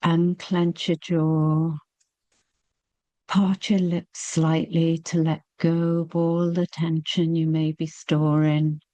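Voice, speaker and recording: A woman leading a guided meditation, speaking gently and soothingly with long, unhurried pauses. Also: low-pitched, level tone, dry recording.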